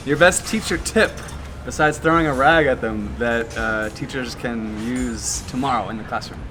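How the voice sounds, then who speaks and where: A man interviewing a colleague in a relaxed, friendly way, asking follow-up questions. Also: mid-range voice, measured pace, background noise. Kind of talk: casual interview. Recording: background music throughout.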